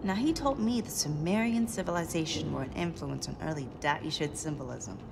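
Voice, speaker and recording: A woman's voice: deep voice, fairly quick, gravelly, background noise. An actress playing a tough, commanding female resistance leader with an American accent, speaking bluntly in a video game. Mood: serious, resolute.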